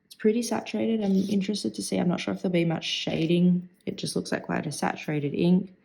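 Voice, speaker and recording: A woman with a croaky voice today, thinking aloud in a chatty, rambling review while she swatches ink at her desk. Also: lowish voice, steady tone, brisk, dark tone, poor audio. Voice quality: vocal fry. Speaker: stationery vlogger.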